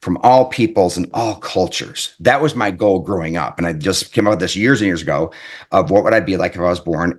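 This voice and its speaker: A man giving an earnest, reflective self-help talk as an author, often repeating his points and checking in with "right?". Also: sits low. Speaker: author and life coach.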